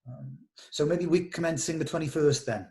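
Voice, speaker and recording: A man's voice: fairly high pitch, moderate pace, background noise. A man talking casually in a meeting, organising things with a group.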